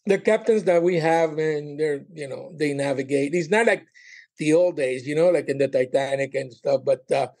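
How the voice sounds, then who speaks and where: Comedian guest, male, sixties, slightly high voice, halting and informal casual chat. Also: vocal fry, darkish tone. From Colombia and Miami. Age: 60s. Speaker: podcast guest, stand-up comedian.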